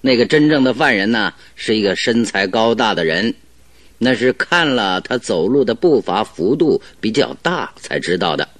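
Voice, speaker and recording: An actor playing a confident, cheerful detective in a radio drama, explaining things in an animated, self-assured, didactic way. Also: male voice, on the low side, normal speed, no room sound. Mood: assured deducing. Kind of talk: Chinese radio drama acting.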